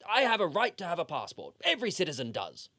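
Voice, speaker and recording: A man's voice: high voice, huge pitch swings, fast, pushed and bright, no room sound, studio quality, heavily acted. An actor playing an aggrieved man seeking a passport in an audio play, indignant and protesting that he is not dead.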